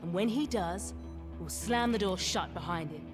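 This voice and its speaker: A woman's voice: lowish voice, normal speed, music in the background, natural voice. Tough, commanding woman soldier played by an actress. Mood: determined, wry. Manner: commanding, dry, confident. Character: tough, wry female supersoldier commander. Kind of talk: video game cutscene acting.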